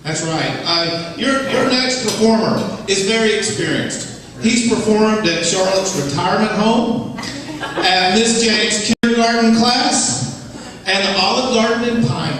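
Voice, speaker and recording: A man's voice: high voice, unhurried. A man emceeing a comedy club showcase, hyping the crowd and introducing comics. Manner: upbeat, hype-building crowd work. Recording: echoey club, distant mic, loud crowd.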